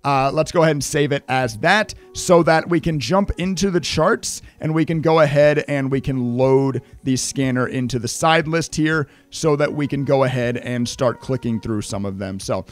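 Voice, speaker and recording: A man's voice: mid-range voice, moderate pace, hardly any pauses, faint music behind. A man in his thirties giving a screen-share trading lesson, talking emphatically while losing his voice. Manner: energetic, emphatic, repeats "right?". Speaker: trading educator.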